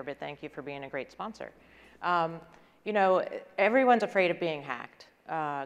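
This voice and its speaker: A woman's voice: on the low side, clean tone. A middle-aged woman gives an informal, conversational business presentation at a conference. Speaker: conference speaker, corporate executive. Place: large indoor conference stage before an audience. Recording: echoey hall with long reverb.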